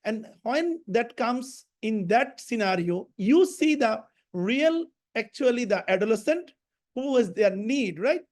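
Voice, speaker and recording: A man, a professor speaking on a panel, halting with many restarts. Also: very high voice, expressive. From Bangladesh. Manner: halting, with frequent restarts and fillers. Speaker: professor and health researcher. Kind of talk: panel discussion.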